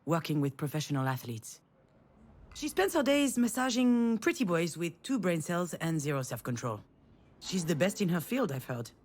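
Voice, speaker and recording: A woman's voice: low voice, darkish tone. A woman playing a guarded, wry young failed screenwriter in a visual novel, with a dry, sardonic, confiding manner. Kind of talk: visual novel voice acting with inner monologue.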